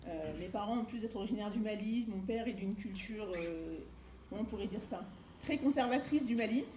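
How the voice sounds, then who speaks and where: A woman's voice: mid-range voice, a little fast, dark tone. A middle-aged woman from France gives a personal presentation at a seminar in a conversational, storytelling manner. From France, Eure-et-Loir. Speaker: life coach and former youth educator. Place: indoor seminar room. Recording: roomy echo with faint background noise.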